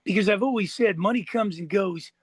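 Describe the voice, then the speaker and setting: A man with a notably high voice hosts a podcast interview. He speaks in a casual, conversational way. The recording is dry and free of room echo. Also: a little fast, husky. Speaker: podcast host.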